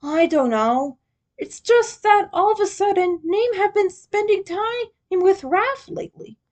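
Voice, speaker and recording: A woman's voice: very high-pitched, lively intonation, a little slow, darkish tone, pitched above the performer's own voice. A woman reading the part of an earnest, sheepish teenage male turtle leader in a fan story. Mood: confused, defensive.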